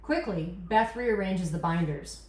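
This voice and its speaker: A woman's voice: on the low side, a little slow, darkish tone. Echoey woman narrating a screenplay. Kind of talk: reading screenplay action lines. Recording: echoey room recording.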